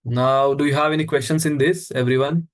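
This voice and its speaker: A man's voice: on the high side, steady tone, fairly quick. A man teaching a live coding lesson who walks through each step and asks the class questions as he goes. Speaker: instructor.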